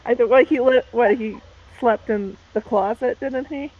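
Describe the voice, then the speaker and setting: A woman's voice: on the high side, normal speed, narrow, tinny audio. A woman with a Southern American accent who chats loosely and thinks aloud as she talks. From Southern United States. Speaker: book club co-host. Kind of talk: casual live-stream chat.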